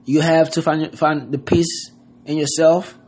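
A man's voice: on the high side, level tone, a little slow, a little mellow. A man from Haiti giving an earnest motivational talk, loosely worded and full of "you know what I mean.". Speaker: podcast host. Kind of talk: casual motivational monologue.

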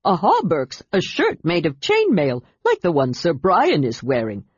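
A woman plays a cheerful male kender in a fantasy audiobook, a playful tease with an impish streak. The voice is raised above the narration and slightly husky, on a muffled recording. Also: lowish voice, wildly swooping pitch, unhurried, no echo, heavily acted. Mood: amused teasing. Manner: playful, teasing.

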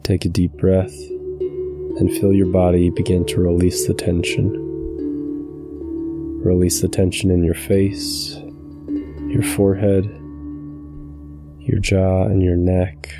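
A man guiding a meditation from a script in a calm, measured, soothing way. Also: sits low, over music. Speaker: meditation guide, channel host. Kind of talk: scripted guided meditation narration.

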